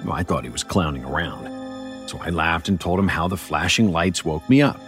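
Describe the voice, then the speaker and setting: A man's voice: deep voice, expressive, normal speed, fairly bright tone, soft background music. An actor playing a grown man who recounts an uneasy childhood memory in a colloquial, wry way, as first-person horror storytelling. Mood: uneasy, wry reminiscing.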